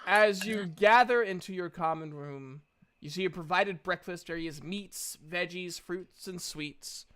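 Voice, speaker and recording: A man hosting a tabletop role-playing session as game master, talking in a playful, animated, casual way with some swearing. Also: high-pitched, a little slow, smooth.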